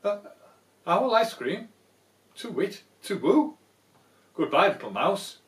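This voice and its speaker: A man's voice: high-pitched, big pitch swings, slow, echoey room, far from the mic, pitched above the performer's own voice, exaggerated voice. A man voicing a genteel, hungry storybook owl who exclaims theatrically and is easily flustered. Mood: startled.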